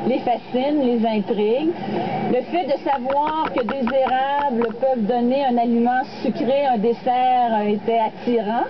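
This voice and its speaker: A woman's voice: fairly high pitch, steady tone, measured pace. A woman speaking as an educator, giving a considered answer in an interview. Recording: dull sound, loud ambient noise.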